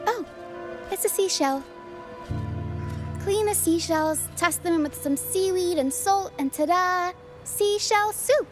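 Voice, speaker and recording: A woman playing a calm, quirky young female adventurer in a video game, measured and gently playful. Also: very high voice. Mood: gently cheerful. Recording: loud game music behind the voice. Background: game music.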